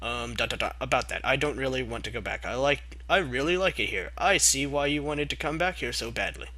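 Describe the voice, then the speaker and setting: A man's voice: medium pitch, expressive, fairly quick, bright, forceful tone, a little hiss or hum. A man voicing a cocky young female pegasus pony in an amateur fanfic reading, with a brash, boastful manner. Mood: hesitant.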